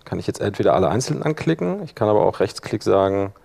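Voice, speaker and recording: Low-voiced man giving a software tutorial. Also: very animated, fast, vocal fry. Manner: informal, thinking aloud while demonstrating. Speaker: workshop presenter. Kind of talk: live software tutorial, casual explaining. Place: indoor workshop room, seated at a computer.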